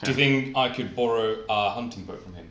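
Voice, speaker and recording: A woman playing a tabletop game with friends, animated and quick to laugh. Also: very deep voice, highs cut off. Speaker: player. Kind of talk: casual tabletop play. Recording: echoey, noisy room.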